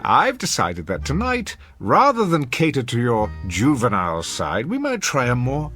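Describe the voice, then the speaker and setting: A man's voice: mid-range voice, wildly swooping pitch, normal speed, a bit bright, faint music behind. An actor playing a pompous, sardonic male host in a radio drama, arch and mock-courteous, with theatrical cruel wit. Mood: commanding, sly.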